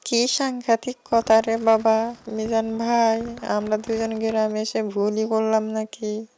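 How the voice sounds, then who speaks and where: A woman's voice: a little high, completely flat delivery, slow pace, mellow, a little hiss or hum, voice pushed up. A woman voicing an anxious, earnest young male office worker visiting a village in a cartoon horror story. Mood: frightened.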